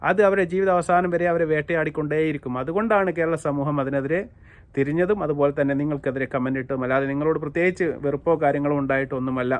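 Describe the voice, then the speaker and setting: A Malayali man with a Kerala accent delivers opinionated online commentary in a fairly high voice. He is emphatic and repeats his points, speaking directly to his viewers. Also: fairly even intonation, fast. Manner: emphatic, repetitive, addressing listeners directly. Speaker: YouTube commentator.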